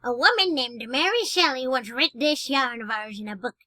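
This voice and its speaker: Ancient gleeful witch storyteller, high and sharp, theatrical radio horror, very dry close sound. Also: animated, dry recording. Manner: slow, theatrical, cackling. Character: cackling 111-year-old witch, gleeful storyteller of spooky tales. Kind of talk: old-time radio horror acting.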